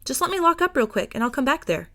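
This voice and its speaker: A woman's voice: sits high, sing-song, fast, pushed and bright, pitched above the performer's own voice, heavily acted. A woman voicing a friendly, eager young female bookshop owner in an audiobook, gushing with enthusiasm. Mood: eager, effusive. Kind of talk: acted fiction reading.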